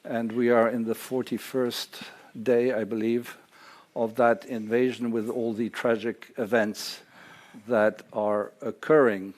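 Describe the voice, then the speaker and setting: A man hosting a conference panel, introducing speakers with many hesitant pauses. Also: mid-range voice, level tone, a little mellow, rough recording. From Serbia. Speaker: conference host. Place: lecture hall or library.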